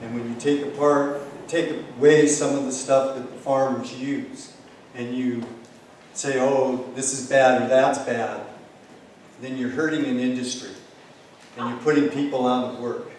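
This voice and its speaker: A man's medium-pitched voice comes through a heavily echoing hall, slightly distant from the microphone. He talks informally and plainly as a candidate, rambling loosely from point to point. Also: steady tone, unhurried, darkish tone. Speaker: county council candidate. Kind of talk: informal campaign speech. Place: high school hall public forum. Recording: echoey hall, distant mic, faint noise.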